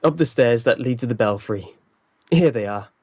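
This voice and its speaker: Commanding, mellow-voiced detective. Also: male voice, mid-range voice, expressive, normal speed, husky, no room sound. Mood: assured, resolute. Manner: authoritative, formal, theatrical. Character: commanding, incisive male detective, calm and stern. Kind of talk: old-time radio drama acting.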